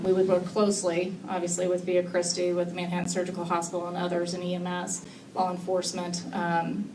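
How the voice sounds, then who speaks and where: A woman on a community health panel, speaking hesitantly with many fillers. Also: on the low side. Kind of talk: panel discussion answer. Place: public library meeting room. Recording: echoey room, far mic, noisy.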